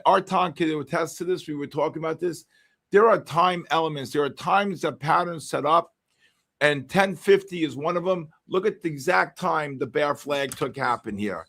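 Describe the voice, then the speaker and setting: A man with a Bronx accent hosting live market commentary, loud, exclamatory and wisecracking. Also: a little high. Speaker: trading show host.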